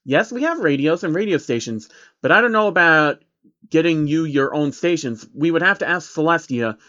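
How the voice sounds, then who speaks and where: A man's voice: a little high, lively intonation, moderate pace, sharp tone, dull audio. A man voicing a bookish, earnest and easily annoyed female unicorn pony, explaining primly in a story reading. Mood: puzzled, explaining.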